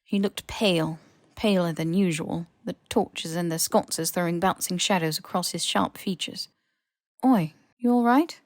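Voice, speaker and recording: A woman's voice: fairly low pitch, fairly even intonation, moderate pace, no room sound. Woman narrating a story, dry close sound. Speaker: podfic narrator. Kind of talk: expressive scripted story narration.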